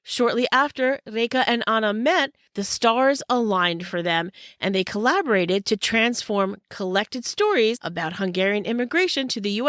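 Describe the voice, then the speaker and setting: A woman's voice: mid-range voice, a bit bright, no room sound, clean studio sound. A woman hosting a podcast, greeting listeners and introducing her guests in an upbeat, welcoming way. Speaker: podcast host. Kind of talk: scripted podcast intro and interview.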